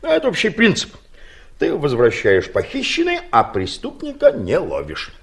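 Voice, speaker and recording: A man's voice: on the high side, huge pitch swings, normal speed, creaky, exaggerated voice. An actor playing a scheming, eccentric older man in an audio play, laying out his plans in an insistent, matter-of-fact way. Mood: brisk plotting. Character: scheming, eccentric older male friend hatching crime plans.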